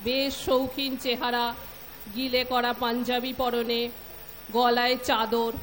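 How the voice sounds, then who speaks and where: A woman's voice: fairly high pitch, flat delivery, slow, fairly bright tone. A woman reading scripted narration for an audio drama. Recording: live hall, audience murmur, faint noise.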